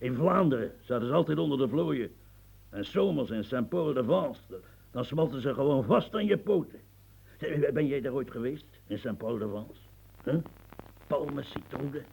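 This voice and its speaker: An actor in a radio play as a grumbling old French marquis and former soldier, husky, stammering and repeating himself as he muses. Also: medium pitch, a little mellow, full character voice, poor audio. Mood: grumbling, reminiscing. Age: older. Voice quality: gravelly, husky. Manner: stammering, repetitive, musing, earthy, prods with "huh". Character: rambling, grumbling old French marquis, retired military officer.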